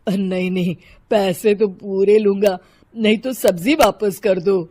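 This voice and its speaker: Lady putting on a low slow veggie seller guy, poor and meek, kinda drawls. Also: fairly even intonation, very noisy, natural voice. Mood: firm. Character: poor humble male street vegetable seller.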